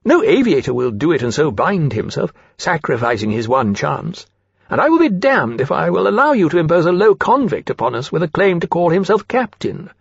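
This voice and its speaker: A man's voice: on the high side, animated, moderate pace, vocal fry, slightly rough, no echo, lo-fi sound, pitched above the performer's own voice, full character voice. A man playing a haughty, scornful aviator officer with a cutting, impatient manner in a period fantasy audiobook. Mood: scornful, indignant.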